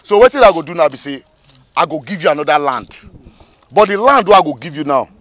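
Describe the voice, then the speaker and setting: Brazen Nigerian youth boss for comedy skit, male actor, high, animated, combative. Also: fast, no echo. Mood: scheming. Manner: argumentative, emphatic, repeats himself. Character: brazen, self-important male community youth leader. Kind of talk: comedy skit acting in Pidgin English.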